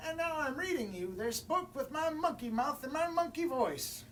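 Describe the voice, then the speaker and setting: A woman voicing a gleeful, boastful monkey in a high sing-song voice. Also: expressive, normal speed, a bit bright, slight background noise. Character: silly boastful monkey narrator, childish and gleeful. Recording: voice from a distance with faint room ambience.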